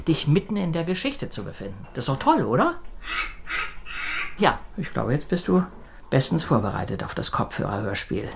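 A woman giving a playful scripted explanation, pausing often and addressing the listener directly. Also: sits low. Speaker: narrator explaining headphone audio.

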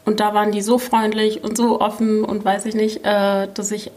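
A woman's voice: medium pitch, completely flat delivery, normal speed, a little mellow. Informal young woman from Berlin. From Berlin, Germany. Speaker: podcast co-host. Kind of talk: casual chat.

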